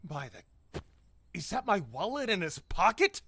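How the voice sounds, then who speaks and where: A man's voice: sits high, big pitch swings, measured pace, pushed and bright, breathy edge, no echo, full character voice. An actor playing a blunt, sarcastic, defensive man in an audio drama. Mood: accusing. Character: blunt, exasperated grown man, defensive young traveler.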